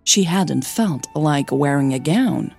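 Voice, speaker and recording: A woman's voice: low-pitched, expressive, takes it slowly, gravelly, a little mellow, husky, soft background music. A woman hosting a fiction podcast, giving announcements and narrating stories.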